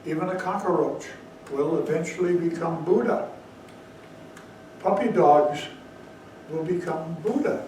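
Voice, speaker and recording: A man's voice: on the high side, a little slow, long pauses, darkish tone, slight background noise. A man giving a dharma talk as Zen teacher, telling a story with bursts of emphasis. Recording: very echoey hall, mic far off.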